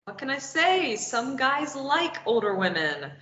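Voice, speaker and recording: A woman reading a sharp-tongued captive in a table read. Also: high-pitched, very animated, full character voice. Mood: sardonic. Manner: sardonic, quick retorts. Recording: dull, roomy lo-fi recording with faint noise.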